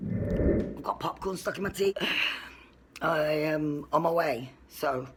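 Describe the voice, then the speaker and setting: A woman's voice: deep voice, takes it slowly, background noise. A woman guest on a talk show, chatting casually about herself and laughing between lines. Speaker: guest, entrepreneur and YouTuber. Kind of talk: casual interview chat.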